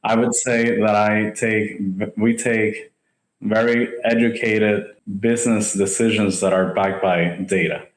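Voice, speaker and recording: A man from Rico, a podcast guest speaking in a measured, businesslike way. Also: fairly low pitch, level tone. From Puerto Rico. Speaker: guest, home builder. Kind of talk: podcast interview. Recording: echoey room.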